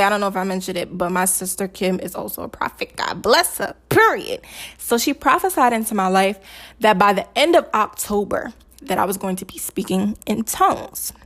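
A woman's voice: mid-range voice, normal speed, creaky, a bit bright. A young woman telling a personal faith testimony in a chatty, slangy way, repeating phrases for emphasis and preaching casually as she goes. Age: young adult.

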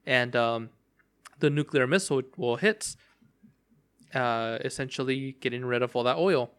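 Rambling young man with a bright voice. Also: mid-range voice, a little slow. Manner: rambling, many fillers and restarts. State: voice cracking from long talking. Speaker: podcast host. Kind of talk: casual solo game talk.

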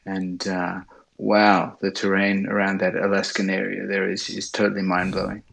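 A middle-aged man with an Australian accent telling his story as an interview guest, speaking in a hesitant, halting way. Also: deep voice, monotone, vocal fry, a bit bright. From Sydney, Australia. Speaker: interview guest, bush pilot.

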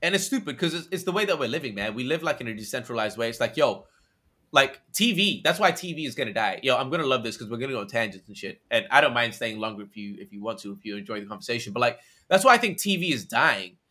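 Bright-voiced Australian-raised guy, rambling. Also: mid-range voice, fast talker. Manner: energetic, rambling, full of slang and tangents. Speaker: podcast guest. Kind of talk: casual conversation.

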